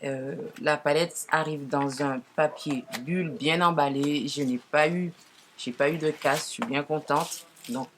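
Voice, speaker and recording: A woman with a naturally deep voice, lowered further by a cold, chats casually to viewers as she presents makeup, often correcting herself. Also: unhurried, a little hiss or hum. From Martinique. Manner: informal, frequent self-corrections. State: deeper, hoarse-sounding from a cold. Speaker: makeup tutorial host.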